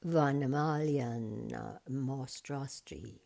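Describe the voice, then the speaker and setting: Lady doing an old old tree giant guy from a storybook, husky and dark, really slow and solemn, kinda sad. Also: extremely low pitch, pitched below the performer's own voice, exaggerated voice. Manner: slow, solemn, drawn-out speech.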